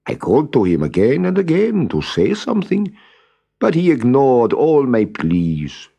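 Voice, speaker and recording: A man's voice: mid-range voice, wildly swooping pitch, unhurried, vocal fry, mellow, voice pushed up, full character voice. A man voicing a rich merchant, fond and puzzled, in earnest storybook dialogue. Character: rich Persian merchant, fond and puzzled male bird owner.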